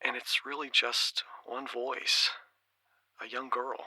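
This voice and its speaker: A slow-talking, troubled male caller to a radio show. His voice is strikingly sharp and very dry, and he sounds hesitant and bewildered, in audio drama style. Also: medium pitch, level tone, no room sound, exaggerated voice, rough recording. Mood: uneasy, bewildered.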